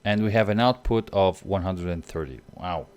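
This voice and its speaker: A man's voice: sits low, moderate pace, darkish tone. A young Portuguese man with a Portuguese accent, thinking aloud through a strategy game on stream and chatting with viewers. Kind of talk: live gameplay commentary.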